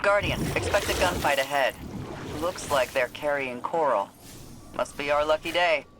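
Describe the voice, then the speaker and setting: An actor playing a battle-hardened, stubborn soldier in a video game, speaking in a blunt, guarded way. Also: male voice, on the high side, moderate pace, background noise, natural voice. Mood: alert, dry.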